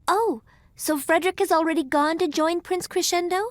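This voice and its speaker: An actress playing a gentle, principled young princess in a video game cutscene, speaking formally and earnestly. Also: female voice, extremely high pitch, fairly even intonation, normal speed, no echo.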